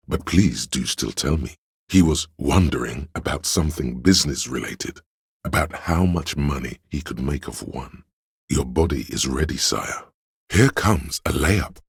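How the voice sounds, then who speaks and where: Game role: grandiose, scheming courtly inner voice, extremely deep and husky, archaic and deliberate delivery. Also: male voice, dry recording, clean studio sound, heavily acted. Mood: grandiose, dramatic. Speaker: video game voice actor. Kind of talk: theatrical second-person game narration.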